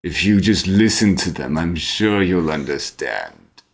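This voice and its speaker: A man voicing a calm, grandiose lich in a fantasy tabletop game, speaking with solemn, measured persuasion. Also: low-pitched, gravelly, full character voice. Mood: persuasive, assured. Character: calm, grandiose male lich promising escape from death.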